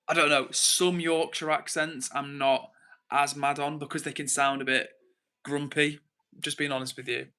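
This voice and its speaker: Bright-voiced, chatty English man livestreaming. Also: a little high, flat delivery, slow pace, pauses often. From England. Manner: chatty, informal, rambling, thinks aloud. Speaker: livestream host. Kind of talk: casual live chat reading out comments.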